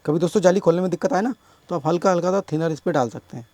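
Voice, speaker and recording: A man with a sore, hoarse throat explaining a speaker repair step by step at his workbench, often addressing viewers as friends. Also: high-pitched, darkish tone. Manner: explains slowly, keeps calling viewers friends. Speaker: electronics repair technician.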